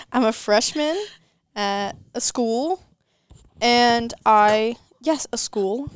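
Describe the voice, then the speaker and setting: A woman's voice: a little high, very slow, clear, resonant tone, no room sound. A fifteen-year-old girl hosting a casual podcast with friends, laughing often and rambling. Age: teens. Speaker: podcast host. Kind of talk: casual chat.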